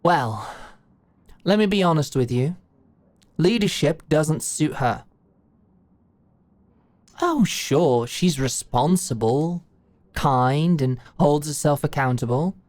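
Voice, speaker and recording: A woman's voice: low voice, lively intonation, takes it slowly, long pauses, darkish tone, no echo. A woman playing a blunt, shrewd older British executive in an audio roleplay, talking in a brash, slangy, commanding way. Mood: frank, confiding. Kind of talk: scripted audio roleplay monologue.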